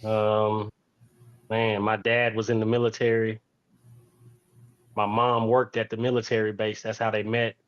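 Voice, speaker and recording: A man's voice: lowish voice, monotone, measured pace, long pauses, dull audio. A man, telling his own story as an interview guest in a reflective way, restating phrases for emphasis. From Fort Worth, Texas, United States. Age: 40s.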